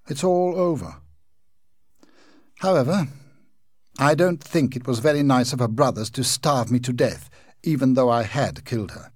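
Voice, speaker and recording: A man's voice: medium pitch, no room sound, studio quality. A man voicing a proud, self-pitying old male ghost who speaks pompously and theatrically.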